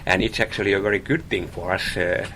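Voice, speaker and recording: A man's voice: deep voice, steady tone, fast talker, sharp tone, a little hiss or hum. A man from Finland, a guest in a radio interview, talking about his hobby with many small hesitations and repeated words.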